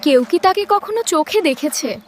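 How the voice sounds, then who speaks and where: An excitable, curious young village woman, voiced by a woman, talks high and animatedly. The delivery is dramatic cartoon dubbing, with clear music underneath. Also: normal speed. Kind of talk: children's fairy-tale cartoon dub.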